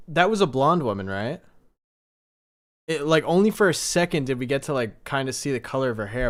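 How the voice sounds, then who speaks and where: A man hosting a livestream, giving casual reaction commentary and thinking out loud in a rambling way. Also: mid-range voice. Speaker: livestream host reacting to a horror series.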